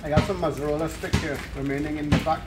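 A man's voice: a little high, fairly even intonation, fast. A middle-aged man from Guyana with a Guyanese accent, chatting and teasing in an easygoing way while he cooks on a covered outdoor porch. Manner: easygoing, teasing, chuckles often. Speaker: co-host cooking on camera. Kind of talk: casual cooking chat. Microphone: camera several feet away. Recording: distant mic, outdoor background noise.

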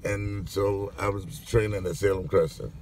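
A man's voice: lowish voice, fairly even intonation, moderate pace, darkish tone. An older man, a veteran singer, chatting bluntly in a parked car, repeating himself and cursing, recorded on a phone. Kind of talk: casual reminiscing chat. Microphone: phone held by passenger. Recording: phone in car, audible noise.

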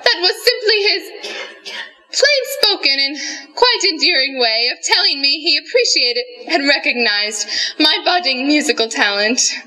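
A grandiose society matron on the comedy stage. The voice is very high and the diction is affected and flowery, full of genteel self-regard. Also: female voice, expressive, measured pace, bright, forceful tone, exaggerated voice, low-quality recording. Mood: proud, affected. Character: pompous, genteel society lady, self-regarding amateur singer. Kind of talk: comic stage monologue. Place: school theatre stage before an audience. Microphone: vintage-style stand microphone.

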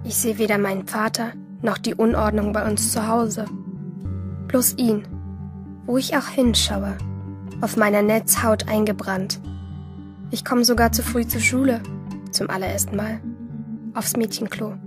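A woman's voice: mid-range voice, steady tone, measured pace, long pauses, over music, natural voice. An actress playing a moody, infatuated teenage schoolgirl, narrating inwardly and intimately in a radio play. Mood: dreamy, observant. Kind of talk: first-person radio play monologue.

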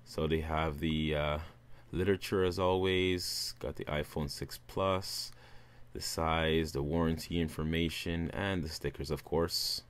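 A man, casually talking viewers through a phone unboxing in a relaxed, upbeat way. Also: a bass voice, unhurried, clear, resonant tone. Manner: relaxed, upbeat presenter addressing viewers as guys. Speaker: tech reviewer.

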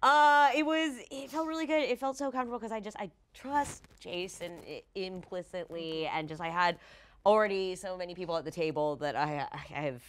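A woman in her thirties, an expressive guest chatting casually on a talk show. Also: fairly low pitch, a little fast, clean tone. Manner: animated, expressive. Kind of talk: casual chat. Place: indoor studio set.

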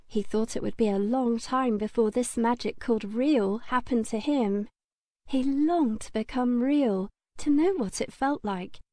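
A woman's voice: a little high, mellow, dry recording, clean studio sound. A woman reading a children's story as an audiobook narrator, in a scripted storytelling style.

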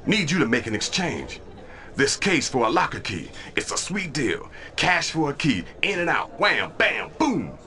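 A pushy street crook in a video game. His voice is middling in pitch, animated and sharp, and his slang comes in punchy bursts. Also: unhurried, full character voice. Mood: brisk, punchy. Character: pushy, fast-talking male street crook. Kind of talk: video game cutscene acting.

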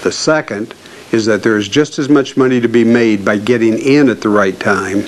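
A man, a farm management expert, speaking in steady, fluent, prepared commentary. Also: lowish voice, a little hiss or hum. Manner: measured, fluent delivery.

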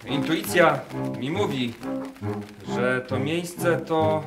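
Radio drama: rapt visionary dreamer, higher-pitched, extremely slow, animated, music underneath. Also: male voice. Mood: rapt, grandiose. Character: visionary, grandiose researcher raptly dreaming of promised land. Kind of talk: theatrical radio drama acting.

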